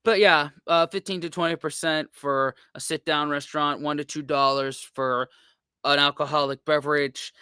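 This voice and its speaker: A man with a drawl, his voice bright and crisp, with a dry, close sound. He rambles in a laid-back way, piling on "like" and "uh" as he reacts, and signs off like a regular host. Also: a little high, steady tone, moderate pace, dry recording. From United States. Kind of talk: casual reaction commentary.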